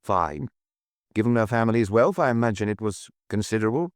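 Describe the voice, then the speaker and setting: A man plays a calm male chief inspector in an audiobook. His voice is on the low side and very dry. He questions in a measured, courteous way, quietly persistent and probing. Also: smooth, dry recording, natural voice. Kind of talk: audiobook character dialogue.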